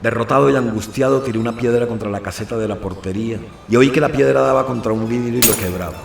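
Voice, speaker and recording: A man's voice: medium pitch, fast talker, gravelly, close to an everyday voice. An actor playing a restless, obstinate priest who narrates his own story in dramatic, confessional phrasing for an audio novel. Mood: tense, restless. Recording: roomy, distant narration over faint music.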